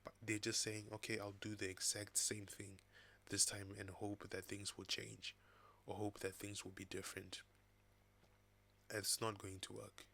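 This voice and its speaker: Male vlogger, raspy post-illness voice, bright and forceful edge, casual off-the-cuff delivery. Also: fairly low pitch, completely flat delivery, unhurried. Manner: loose, thinking-aloud delivery. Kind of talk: casual talking-head vlog. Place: home desk with laptop and second screen.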